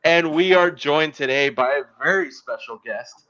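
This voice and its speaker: A man hosting a casual podcast in an informal, halting, promotional way. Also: on the high side, bright, forceful tone, highs cut off. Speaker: podcast host.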